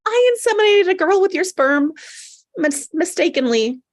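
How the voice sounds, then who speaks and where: A woman acting a guilty, anxious doctor in a comedy drama table read, halting and apologetic. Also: very high voice, animated. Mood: nervous, guilty.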